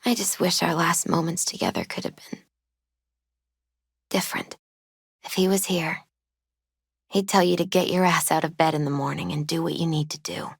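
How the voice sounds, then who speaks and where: A woman playing a gentle, spiritual young tarot reader in a video game, soft-spoken, unhurried and often hushed. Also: lowish voice, animated, vocal fry, husky, dry recording, clean studio sound, heavily acted. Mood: wistful.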